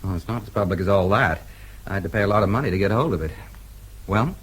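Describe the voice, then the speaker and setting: Husky, low-voiced American newsman. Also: quick pace, poor audio. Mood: probing. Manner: casual, probing. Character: shrewd, easygoing American newsman. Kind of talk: radio drama acting.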